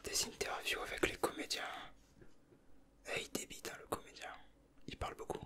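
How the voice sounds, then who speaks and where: A man whispering softly in an informal, slangy, rambling ASMR commentary with hesitations. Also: moderate pace. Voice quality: soft, unvoiced whisper. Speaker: ASMR video creator, host. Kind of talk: whispered ASMR, casual flip-through commentary.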